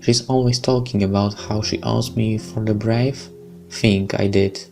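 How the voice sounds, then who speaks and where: Slow, gravelly Polish-accented young man. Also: on the low side, fairly even intonation, mellow, soft background music. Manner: slow, chatty, stumbling over words. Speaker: let's play host. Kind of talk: reading a visual novel aloud with commentary.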